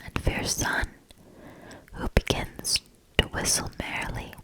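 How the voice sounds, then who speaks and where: Slow whispering woman reading a storybook. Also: low-quality recording. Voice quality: breathy unvoiced whisper. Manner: gentle, deliberate, lingering between short phrases. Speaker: host reading a storybook aloud.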